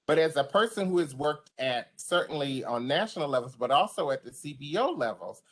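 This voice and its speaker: A woman with a deep voice giving an online training to service providers, speaking passionately and conversationally. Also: expressive, fairly quick. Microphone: video call.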